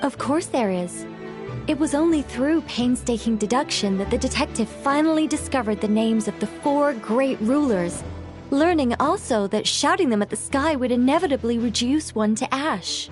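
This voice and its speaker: A woman's voice: high voice, animated, normal speed, darkish tone, soft background music. A woman playing an eccentric, theatrical novelist in a video game, speaking formally and with great self-assurance. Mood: matter-of-fact, musing.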